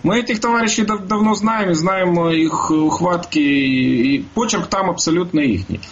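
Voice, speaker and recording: A man's voice: high-pitched, normal speed. Wry man on a dull line. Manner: wry, finishes partner's jokes. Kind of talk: radio interview.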